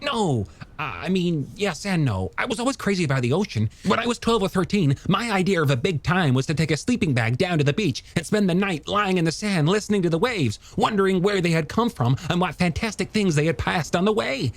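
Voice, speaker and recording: A man's voice: high voice, lively intonation, fairly quick, pitched above the performer's own voice. A man voicing an enthusiastic, friendly young male scientist in an audiobook, chatty and given to stammering. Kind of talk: audiobook character dialogue.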